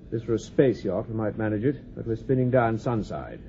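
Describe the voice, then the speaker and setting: An actor playing a brisk, officious, matter-of-fact spaceship officer in a radio drama. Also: male voice, lowish voice, animated, dark tone. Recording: phone-like narrow band, faint noise.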